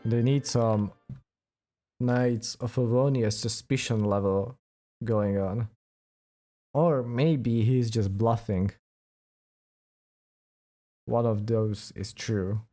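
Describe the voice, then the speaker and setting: A man streaming a video game in a relaxed, mellow voice. He talks slowly and casually, muttering wry reactions to what happens, with faint game music in the background. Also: medium pitch. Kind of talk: casual let's play commentary.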